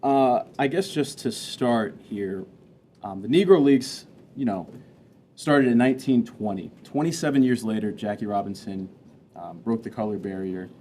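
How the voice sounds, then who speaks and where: Male moderator, low mellow voice, hesitant, faint room noise. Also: normal speed. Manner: hesitant, with fillers. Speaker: panel moderator. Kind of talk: moderating a panel.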